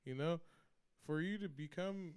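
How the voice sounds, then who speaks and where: A man's voice: on the high side, measured pace, a little mellow, clear, resonant tone. A man in his twenties, co-hosting a casual podcast, who talks in a hyped, slangy way and calls his friends bro and baby. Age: 20s. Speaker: podcast co-host. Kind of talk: casual chat among friends.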